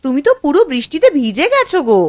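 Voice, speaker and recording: A woman playing a bossy but caring housewife in a cartoon moral story, brisk and quick to nag. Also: sits high, big pitch swings, a little mellow, dry recording. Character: bossy, caring housewife, easily piqued by husband.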